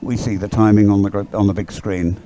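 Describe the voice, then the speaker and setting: A man giving conversational live sports commentary in a hesitant, halting way, often repeating his words. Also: lowish voice, little pitch movement, warm tone. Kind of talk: live dragon boat race commentary, conversational. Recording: live sports coverage, crowd in background.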